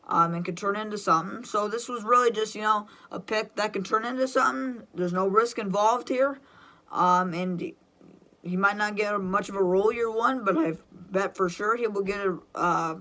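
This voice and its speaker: This is a sports fan hosting their own football draft show, with a bright, forward voice. The delivery is opinionated and enthusiastic, in the style of unscripted pick-by-pick commentary. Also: mid-range voice.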